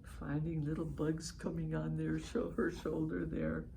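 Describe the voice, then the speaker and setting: A woman hosting a children's storybook read-aloud, speaking in an encouraging, expressive way and pausing to ask young listeners questions. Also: sits low, vocal fry, a little hiss or hum. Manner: encouraging, expressive, lingers on phrases and prompts listeners. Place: at home, online session.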